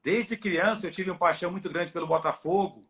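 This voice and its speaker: High-voiced middle-aged Brazilian male guest. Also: fairly quick, a bit bright. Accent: Brazilian, São Paulo interior. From Ribeirão Preto, São Paulo, Brazil. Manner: friendly, informal. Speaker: guest, football club doctor. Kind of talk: casual interview chat. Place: at home on a video call.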